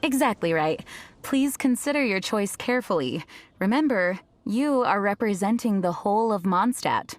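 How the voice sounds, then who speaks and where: A woman's voice: mid-range voice, animated, normal speed, dry recording, lightly acted. A woman playing a poised, dutiful young female knight leader in a video game, with a formal, ceremonial delivery. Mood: courteous, composed. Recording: music clearly behind the voice. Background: game music.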